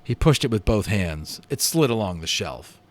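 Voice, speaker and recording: Animated man reading a storybook aloud. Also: fairly low pitch, moderate pace. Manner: enthusiastic, dramatic. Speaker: amateur voice actor reading a children's book aloud. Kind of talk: expressive storybook narration with casual asides.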